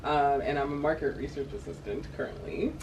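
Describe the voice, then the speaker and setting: A woman in her twenties from Uganda, chatting casually as a podcast guest, with lots of fillers and quick laughs. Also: low voice, a little mellow, recorded from a distance, slight background noise. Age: 20s. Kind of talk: casual chat.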